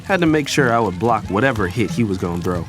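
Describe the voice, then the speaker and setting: A man's voice: lowish voice, lively intonation, fast, natural voice. A young man acting as a playful, idealistic younger brother, narrating his own story in a scripted audio drama. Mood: reflective, storytelling. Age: young adult. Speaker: narrator and character. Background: music.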